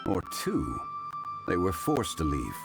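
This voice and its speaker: A man playing a composed, scholarly gentleman in a video game, speaking in a measured, formal and precise way. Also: deep voice, huge pitch swings, creaky, over music, exaggerated voice. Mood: calm, analytical.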